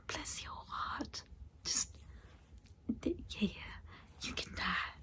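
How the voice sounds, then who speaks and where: A woman playing a chatty vampire saleswoman in a comedic ASMR roleplay, whispering breathily with rambling, sweetly patronizing endearments. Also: close to an everyday voice. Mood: mock-grave, condescending. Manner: rambling, folksy endearments, confiding. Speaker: consultant selling vampire transformations.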